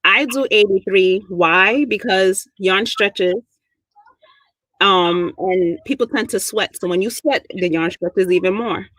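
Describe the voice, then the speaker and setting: A woman's voice: medium pitch, slow pace, a bit bright, no echo, poor audio. A woman from Brooklyn with a New York accent, chatting casually and sassily as a knitting mentor on a livestream. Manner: sassy, chatty, laughs often.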